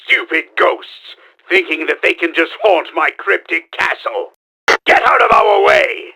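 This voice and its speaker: A man playing a pompous, scheming middle-aged mad-scientist villain in a video game, with a gravelly, forceful, theatrical delivery. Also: high-pitched, measured pace, exaggerated voice. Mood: irritated, indignant. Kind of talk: exaggerated video game voice acting.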